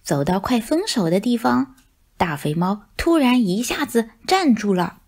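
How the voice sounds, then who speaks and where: A woman narrating a children's picture book in an expressive storytelling style. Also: fairly high pitch, measured pace.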